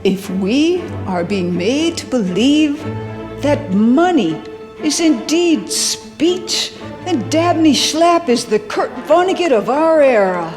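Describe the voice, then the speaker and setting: A woman's voice: high-pitched, big pitch swings, slow, music in the background, full character voice. A woman playing a grandiose congresswoman declaiming a political speech, with long hall reverb. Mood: declamatory.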